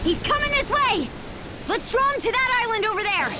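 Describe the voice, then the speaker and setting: A woman voicing a bold, energetic young warrior woman in a video game, with hearty battle calls. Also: very high voice, a little fast, heavy background noise. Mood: excited, fired up.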